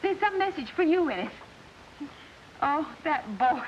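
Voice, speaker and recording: A woman's voice: high-pitched, very animated, a little slow. An actress playing an anxious mother of a young soldier in a 1940s film. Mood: worried, bewildered. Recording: muffled, faintly hissy 1940s film soundtrack.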